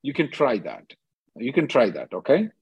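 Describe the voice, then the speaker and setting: A man, a lawyer advising callers on a conference call in a brisk, emphatic manner, repeating phrases for stress. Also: mid-range voice, wildly swooping pitch, husky, dry recording, dull audio. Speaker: immigration lawyer hosting a call-in.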